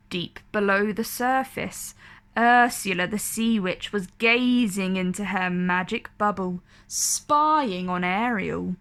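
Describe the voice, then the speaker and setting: A woman reading a storybook aloud for children in an expressive, deliberate, dramatic way. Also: mid-range voice. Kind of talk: expressive read-aloud narration for kids.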